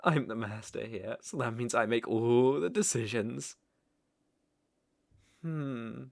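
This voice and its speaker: A man's voice: mid-range voice, gravelly, no room sound, clean studio sound. A man with a British accent playing a cruel, arrogant, mocking evil sorcerer in an ASMR roleplay, speaking in a theatrical, condescending way. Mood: musing, mocking. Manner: slow, theatrical, menacing, condescending. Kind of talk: ASMR roleplay, dramatic monologue to listener.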